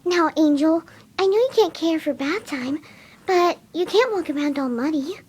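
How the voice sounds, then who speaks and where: A woman voicing a timid, kind-hearted cartoon pegasus pony who speaks in a soft, gentle, coaxing way. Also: very high-pitched, lively intonation, normal speed, a little hiss or hum. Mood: apologetic, coaxing.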